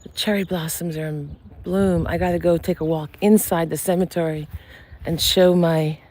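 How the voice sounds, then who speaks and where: A soft-spoken woman, a psychic medium, chatting gently in a live stream while she walks through a cemetery among blossoming cherry trees. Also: on the low side, fairly even intonation, normal speed. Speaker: psychic medium and channel host. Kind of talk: casual live-stream chat while walking. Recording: outdoor live stream with clear ambient noise. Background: birds and passing traffic.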